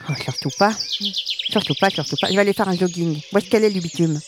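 A woman's voice: mid-range voice, quick pace, lightly acted. Give me a woman playing a scornful, business-minded city woman with faint noise behind. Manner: brusque, scornful.

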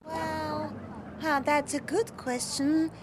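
A man's voice: very high-pitched, little pitch movement, background noise, pitched above the performer's own voice, heavily acted. A man voicing a rambling woman film director. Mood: hesitant. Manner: halting, rambling.

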